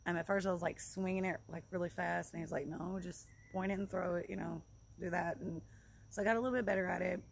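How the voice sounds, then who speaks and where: Casual female vlogger, lowish voice with strong creak, loose and repetitive delivery, recorded outside. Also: fairly even intonation, fast. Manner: rambling, informal, repeats herself. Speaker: vlogger reviewing fishing gear. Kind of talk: casual product review to camera. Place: outdoors in a leafy yard by a log building. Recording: clear outdoor background noise.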